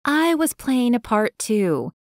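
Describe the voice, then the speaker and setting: A woman voicing a guarded young woman in an audiobook, speaking in a high, insistent tone. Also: big pitch swings, slow pace, no room sound, studio quality.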